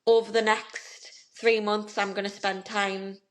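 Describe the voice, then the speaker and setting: A woman's voice: medium pitch, level tone, a little slow. Chatty young woman co-host. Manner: chatty, bubbly, gushing. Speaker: podcast co-host. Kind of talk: casual chat.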